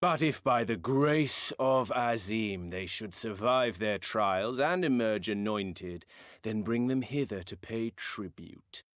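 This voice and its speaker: Arrogant sun tribe ruler in a game, low voice, slow n pompous, old-timey wording. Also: male voice, no echo, clean studio sound. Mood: grand, condescending. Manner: pompous, archaic formal speech. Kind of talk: theatrical video game cutscene acting.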